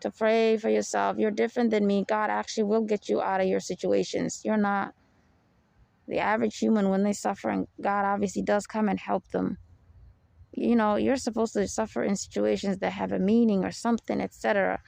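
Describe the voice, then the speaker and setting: Young woman podcaster, deep low voice w some fry, rambly confessional talk, dry close sound. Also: flat delivery, a little fast, no echo. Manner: rambling, confessional, frequent "you know" and soft laughs. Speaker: podcast host. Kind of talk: personal monologue podcast.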